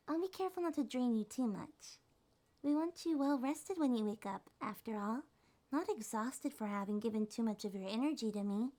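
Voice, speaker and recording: A woman voicing a small, affectionate succubus monster girl in a soft, soothing, sleepy ASMR roleplay. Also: a little high. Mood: gentle, reassuring. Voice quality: soft, breathy, often whispered. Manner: slow, tender, soothing.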